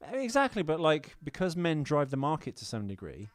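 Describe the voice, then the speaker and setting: A man's voice: fairly high pitch, wildly swooping pitch, a little fast, dry recording. A man chatting casually as a podcast co-host, informal and somewhat argumentative as he talks about films. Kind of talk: casual film chat.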